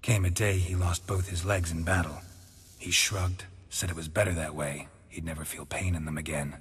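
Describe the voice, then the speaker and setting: An actor playing a weary, sardonic middle-aged monster hunter in a video game, with a low gravelly voice and deadpan delivery. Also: male voice, moderate pace, exaggerated voice. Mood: quietly serious. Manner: deadpan, dry.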